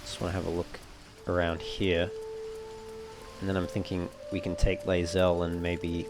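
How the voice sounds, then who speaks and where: A man with a low voice and a creaky, fry-edged delivery commentates on a game. He talks casually, as a let's play host does, over faint background music. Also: completely flat delivery, normal speed. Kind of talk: casual gameplay commentary, reading item text aloud.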